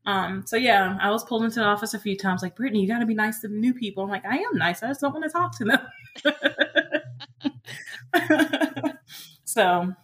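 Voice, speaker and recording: Woman, thirties, podcast co-host, crisp bright tone, mid-range pitch, informal conversational delivery. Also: a little fast. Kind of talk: casual personal podcast chat.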